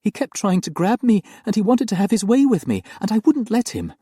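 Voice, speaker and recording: A man's voice: sits high, huge pitch swings, fairly quick, dark tone, slightly rough, no room sound, clean studio sound, pitched above the performer's own voice, exaggerated voice. A man voicing a frightened young woman nanny, pleading earnestly through tears.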